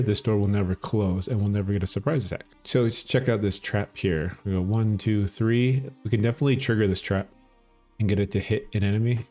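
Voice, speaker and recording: Low, mellow Minnesota man. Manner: relaxed, explanatory, chuckles often. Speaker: gaming channel host. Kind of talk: casual gameplay commentary.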